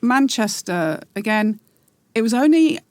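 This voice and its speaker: A woman in her forties, a podcast guest, talking in a reflective, halting way with many pauses and restarts. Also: a little high, expressive, no room sound. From England. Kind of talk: casual interview.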